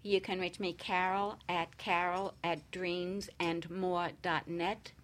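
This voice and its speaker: A woman's voice: on the low side, flat delivery, slow pace. A woman guest on a talk show giving conversational advice in a direct, emphatic way.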